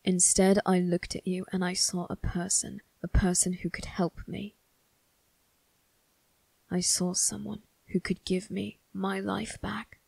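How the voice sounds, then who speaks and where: Casting: woman as menacing male vampire crime boss for ASMR drama. Very slow, husky and gravelly, condescending, deliberate, close dry sound. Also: mid-range voice, flat delivery, darkish tone, dry recording. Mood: possessive, intense. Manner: slow, deliberate, measured taunting. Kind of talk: ASMR roleplay monologue addressed to the listener.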